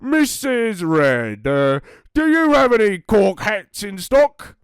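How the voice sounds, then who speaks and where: An earnest, fussy park ranger from a children's story, apologetic and a bit hesitant, as a narrator puts him on. Pitched above the reader's own voice and a touch louder, with a dry, close sound. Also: big pitch swings, takes it slowly, clean tone, no echo.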